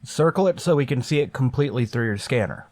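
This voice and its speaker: A man's voice: medium pitch, expressive, darkish tone, lightly acted. Stern, wary male starship captain played by an actor. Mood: commanding. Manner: measured, authoritative, curt orders. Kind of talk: golden age sci-fi radio drama acting.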